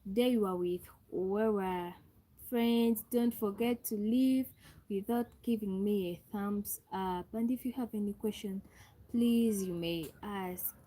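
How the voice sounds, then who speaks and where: A woman's voice: mid-range voice, takes it slowly, dark tone, low-quality recording. A young Ugandan woman with a Ugandan English accent, hosting a live stream and repeatedly greeting viewers and asking them to chat. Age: young adult. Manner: repetitive, calls out to viewers and asks for thumbs up. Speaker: livestream host.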